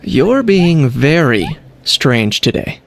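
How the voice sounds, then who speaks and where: A deadpan young mercenary. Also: male voice, mid-range voice, takes it slowly, vocal fry, a little hiss or hum, exaggerated voice. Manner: dry and deadpan.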